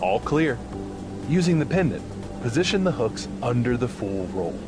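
Male narrator for a training module: clear, instructive scripted read with lively pitch movement, sitting over loud music. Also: normal speed. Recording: music behind the voice. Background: prominent background music.

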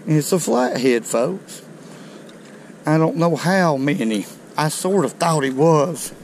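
A middle-aged man, chatting to viewers in a folksy, excited way while fishing from a riverbank, recorded on a chest-mounted camera outdoors. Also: medium pitch, vocal fry, a little hiss or hum. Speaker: fishing video host. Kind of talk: casual outdoor commentary. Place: outdoors on a rocky riverbank below a dam. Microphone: chest-mounted action camera.

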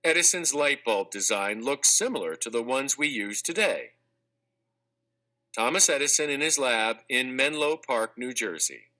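A man narrating a children's textbook read-aloud in a clear, measured, expressive scripted delivery. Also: mid-range voice, low-quality recording. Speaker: audiobook narrator.

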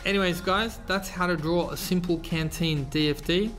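A man hosting a screen-recorded tutorial, explaining step by step in a relaxed way with casual fillers. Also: high-pitched, moderate pace, soft background music. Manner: relaxed, explanatory, frequent fillers like "you know". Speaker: tutorial host. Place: voice-over over slides.

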